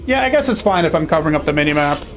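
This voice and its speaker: A man's voice: fairly high pitch, expressive, racing, a bit bright, a little hiss or hum. A young man casually commenting on a video game as he plays, chatty and sarcastic. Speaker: streamer playing a video game.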